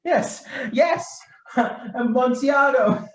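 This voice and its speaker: A woman acting a drunken, trusting nobleman in a radio play, stammering and halting, with coughs and weak laughs breaking up the words. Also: sits high. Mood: nervous false mirth. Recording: roomy, echoing sound.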